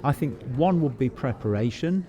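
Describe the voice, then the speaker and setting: A man's voice: mid-range voice, animated, normal speed, mellow. A man hosting a conversational podcast interview. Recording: busy venue with crowd chatter behind. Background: crowd chatter.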